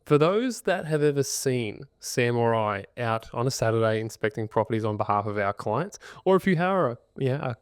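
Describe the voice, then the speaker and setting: An Australian man hosting a casual podcast about buying property, talking energetically with a naturally loud voice that he keeps in check. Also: mid-range voice, normal speed, hardly any pauses, smooth, no echo, clean studio sound. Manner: loud, energetic talker reining in his volume. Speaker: podcast host and buyer's agent.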